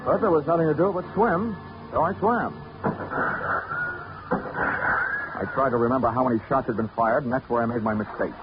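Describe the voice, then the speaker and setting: An actor playing a wisecracking, hard-boiled investigator in a 1940s radio drama, speaking in a dry, clipped, wry way. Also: male voice, mid-range voice, normal speed, pauses often, lightly acted. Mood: wry, tense. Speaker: lead actor and narrator. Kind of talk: 1940s radio detective acting, first-person narration. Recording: dull old radio recording, loud music.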